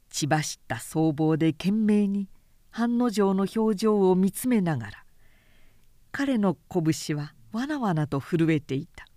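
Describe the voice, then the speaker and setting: A woman narrating a literary audiobook in a measured, deliberate storytelling manner. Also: medium pitch, mellow, no room sound, clean studio sound.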